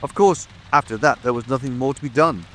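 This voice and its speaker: A man's voice: mid-range voice, a little fast, fairly bright tone, slight background noise, natural voice. A man playing a self-satisfied, officious police detective, formal and methodical, in a dramatic audiobook. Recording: faint rain ambience behind.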